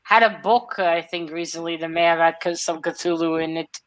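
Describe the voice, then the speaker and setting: A woman co-hosting a casual livestream chat, speaking in a halting, thoughtful way. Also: lowish voice, fairly even intonation. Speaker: co-host on a livestream.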